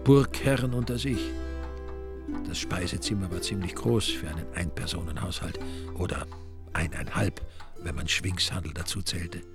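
An actor narrating a radio crime drama in a dry, ironic, literary way. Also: male voice, low-pitched, normal speed. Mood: dry, wry. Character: wry male narrator of a village crime story. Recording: music behind the voice.

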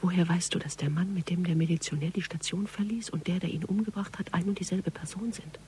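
An actress playing a policewoman's inner voice, tense, calculating and predatory, in breathy whispered asides for a radio drama. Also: fairly low pitch, steady tone, fast talker, warm tone, slight background noise, lightly acted.

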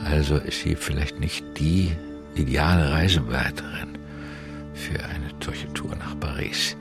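A man's voice: very deep voice, measured pace, loud music behind the voice. An actor playing a reserved older man in a naturalistic, improvised radio drama. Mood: reflective, hesitant. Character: reserved older man left by his wife.

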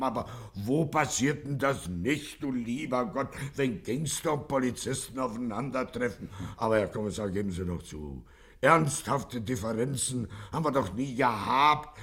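A Chicago gangster boss of radio satire. His voice is gravelly and medium in pitch. He is cocky and blustering, stumbles over his words and slides into oily wheedling. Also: fairly bright tone. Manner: stammering, blustering, turning from threats to oily pleading. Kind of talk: 1950s German radio comedy crime drama acting.

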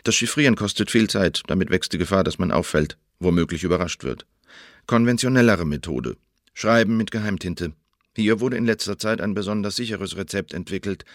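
An actor playing a watchful secret agent who gives a terse, wry, matter-of-fact report in a German radio drama. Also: male voice, low voice, fairly quick, no room sound, studio quality, lightly acted.

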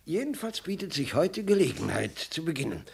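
Creaky, swooping old emperor father. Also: a little high, very animated, heavily acted. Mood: musing, hesitant. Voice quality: husky, creaky. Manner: hesitant, mild, drawn-out murmuring. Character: doddering, genial old emperor, father of a princess. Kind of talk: 1950s German radio play acting.

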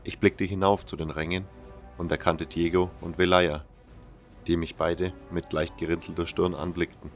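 A man with a fairly low voice narrates a fantasy audio drama in the first person. His delivery is measured and sober, with faint music underneath. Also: little pitch movement.

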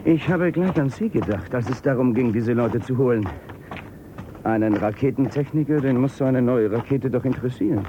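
A man playing a suspicious, insinuating companion in a radio drama. His voice is medium-pitched and questioning, dulled, with clear vehicle noise around it. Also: moderate pace, lo-fi sound, close to an everyday voice. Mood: conversational. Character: probing, suspicious male companion. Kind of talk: German radio drama acting.

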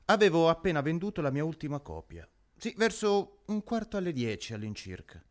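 A man acting in an absurdist radio play as a vague, plodding newspaper seller, halting, repetitive and deadpan. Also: a little high. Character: plodding, vague male newspaper seller making small talk. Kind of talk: absurdist radio drama dialogue.

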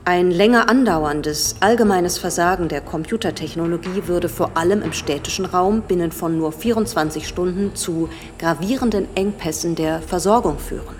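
Actress as a grave, low-voiced professor. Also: female voice, slight background noise, lightly acted. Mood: grave, measured. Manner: formal, measured, lecturing. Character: authoritative, grave female professor warning of collapse. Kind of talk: scripted lecture in German radio drama.

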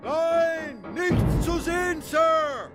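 An actor playing a dutiful ship's mate in a radio play, terse and obedient, relaying orders to the crew. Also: male voice, very high-pitched, very slow, raised voice, loud music behind the voice, exaggerated voice. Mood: barking orders.

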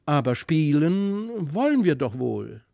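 A man's voice: a little high, big pitch swings, slow pace, gravelly, dark tone, heavily acted. A man voicing an earnest, sometimes grumbly young country boy in a storybook reading. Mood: earnest, relieved.

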